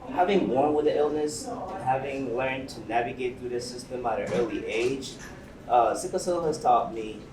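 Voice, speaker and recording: A man's voice: medium pitch, normal speed, a crowd in the background. A man from Seattle, born in Uganda, speaking unscripted as a patient advocate on a panel. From Uganda-born, raised in Seattle. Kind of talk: unscripted panel self-introduction. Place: indoor event venue, panel talk. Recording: echoey room, distant mic, clear background noise.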